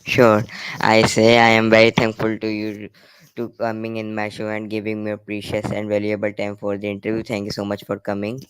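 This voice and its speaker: A man from Pakistan hosting an interview, polite and formal, with halting, fillered phrasing. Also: fairly low pitch, monotone, a bit bright, clean tone, rough recording. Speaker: interview host.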